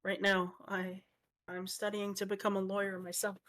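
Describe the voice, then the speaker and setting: A woman's voice: mid-range voice, flat delivery, normal speed, noisy background. A woman playfully reading game dialogue aloud among friends in a casual style. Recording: music behind the voice.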